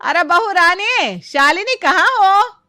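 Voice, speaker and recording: Woman acting an exasperated, bright-voiced, animated mother-in-law. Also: very high-pitched, takes it slowly, no echo, studio quality, exaggerated voice. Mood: calling out. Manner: sarcastic, nagging, dramatic. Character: exasperated middle-aged mother-in-law, sarcastic, loud, scolding. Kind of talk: animated family comedy story acting.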